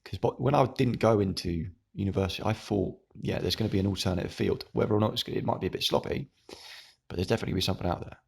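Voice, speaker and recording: A young man with a croaky, hoarse voice, rambling through a casual monologue to camera at home. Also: on the low side, fast talker. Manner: rambling, meandering. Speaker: YouTuber.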